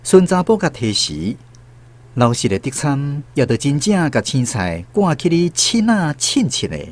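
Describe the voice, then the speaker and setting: A man's voice: on the high side, very animated, unhurried. A man with a Taiwanese accent reading scripted story narration for a radio drama.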